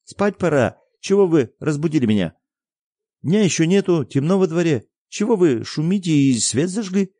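A man voicing a defiant peasant boy who scolds his parents in a high, indignant voice. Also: lively intonation, moderate pace, mellow, dry recording, studio quality.

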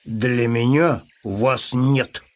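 A man's voice: on the low side, expressive, slow pace, slightly rough, dull audio, full character voice. A man voicing a pompous, stubborn little bear cub in a storybook, speaking in a solemn, dry, self-important official manner. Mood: aloof, important.

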